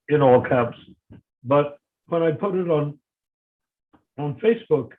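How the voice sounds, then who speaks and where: An older man in a casual discussion, speaking emphatically and often repeating words as he starts sentences, heard over a video call. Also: a little high. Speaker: discussion guest. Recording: tinny phone-like line.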